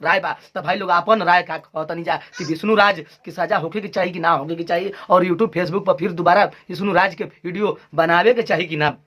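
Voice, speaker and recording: A man's voice: high-pitched, steady tone, normal speed, hardly any pauses, sharp tone. A man with a Bhojpuri accent hosting an informal video news commentary, speaking straight to his viewers. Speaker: video channel host.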